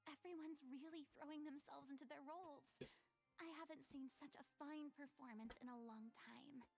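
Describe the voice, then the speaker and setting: A theatrical young former stage star in a video game. She speaks in a high, proud, eloquent voice over faint music. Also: fairly even intonation, moderate pace, gravelly, a little mellow. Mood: wistful. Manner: theatrical, eloquent, self-possessed.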